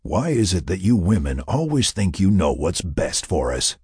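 A gruff, hot-headed male hunter and settler in an audiobook, acted by a male narrator. He is folksy and argumentative, with a raspy voice that swings widely in pitch. The sound is dry. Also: sing-song, moderate pace, darkish tone, dry recording, heavily acted. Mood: confrontational. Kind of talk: audiobook character dialogue.

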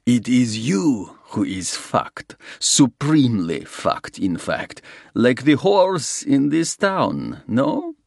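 A man voicing a smug, menacing Russian gangster who talks slowly and mocks his rival. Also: mid-range voice, very animated, vocal fry, no echo, clean studio sound, voice pushed up, full character voice. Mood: gloating. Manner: slow, gloating, mocking. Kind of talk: audiobook character reading.

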